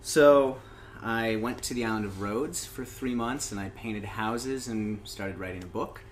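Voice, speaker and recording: A man from Indiana, a guest talking casually about his life, unhurried and dotted with ums. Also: lowish voice, slight background noise. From Indiana, USA. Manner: relaxed storytelling with frequent "um" and "uh". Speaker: interview guest, open mic host. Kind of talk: casual interview.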